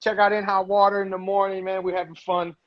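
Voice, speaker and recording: A man's voice: sits high, level tone, fast talker, dull audio. A man, a comedian guest riffing brashly and playfully, swearing often.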